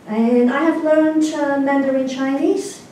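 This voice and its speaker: A woman's voice: sits high, steady tone, unhurried, mellow. Lecturing woman in an echoey hall. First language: Cantonese. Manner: chatty, checks in with "okay?". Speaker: guest lecturer. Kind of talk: academic lecture. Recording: very echoey hall from far off, faint noise.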